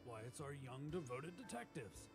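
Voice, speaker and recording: Older male police commander: avuncular, gravelly, lively intonation, game dialogue over clear music. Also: mid-range voice, normal speed. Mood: jovial. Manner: chuckling, avuncular. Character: jovial older police commander with a grey moustache. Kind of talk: visual novel voice acting.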